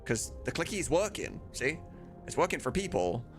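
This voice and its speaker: A man's voice: fairly high pitch, big pitch swings, normal speed, faint music behind. A man livestreaming as he works, chatting casually with viewers and muttering to himself. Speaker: livestreamer and game modder. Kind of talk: casual chat while working.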